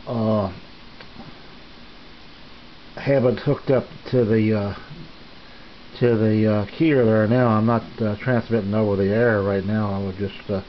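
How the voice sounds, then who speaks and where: A middle-aged or older man giving a casual, unscripted tour as a hobbyist, speaking in a halting, unhurried, plain way. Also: on the low side. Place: small home radio shack, indoors. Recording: dull lo-fi camera audio, faint noise.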